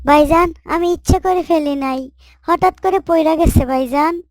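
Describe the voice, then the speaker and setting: A woman's voice: very high-pitched, measured pace, no echo, clean studio sound. A woman voicing a humble, timid young housemaid in a moral cartoon, meek and deferential. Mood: pleading. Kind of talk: Islamic moral cartoon voice acting.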